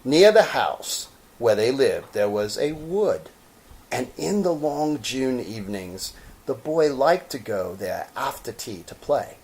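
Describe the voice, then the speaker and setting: A man reading a children's story aloud. He takes his time, breaking phrases with theatrical pauses. His intonation swings widely around a medium pitch. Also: big pitch swings, darkish tone. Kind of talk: expressive children's story narration.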